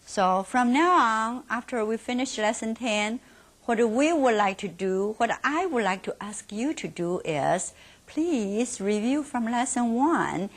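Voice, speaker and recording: A middle-aged woman with a Mandarin Chinese accent teaching a beginners' Mandarin lesson in English, repeating words slowly and asking and then answering her own questions. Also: medium pitch. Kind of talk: slow teaching instruction with drills.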